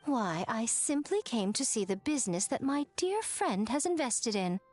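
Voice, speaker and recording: A woman's voice: a little high, very animated, normal speed, a little mellow, soft background music. A woman playing a sly, elegant, self-assured shrine maiden with an arch, teasing manner in an anime-style video game. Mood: sly, playful. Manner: arch, playful, teasing, theatrical.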